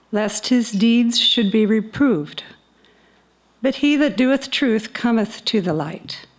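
An older woman reading scripture and commentary aloud in a solemn, deliberate manner at a church service. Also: on the high side. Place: indoor chapel. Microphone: stand microphone close to the mouth.

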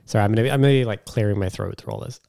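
Raspy, low-voiced man with a cold. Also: rapid-fire, warm tone. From a subtropical island. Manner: sweary, self-deprecating. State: hoarse with a cold. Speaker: podcast co-host. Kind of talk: casual chat.

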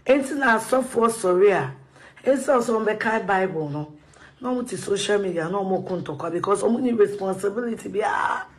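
A woman's voice: mid-range voice, animated, normal speed. A woman with a Ghanaian accent on a confrontational social media rant, firing questions in mixed English and Twi.

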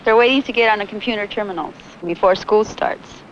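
A woman's voice: mid-range voice, fairly quick. A woman who works as a school educator, giving interview remarks on an old film soundtrack. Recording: dull old film, faint noise.

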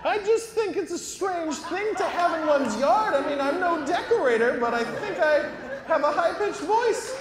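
A fussy police officer squeaks in an extremely high, lively voice. It is live comedy in an echoey hall. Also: male voice, moderate pace. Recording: echoey hall, noisy crowd.

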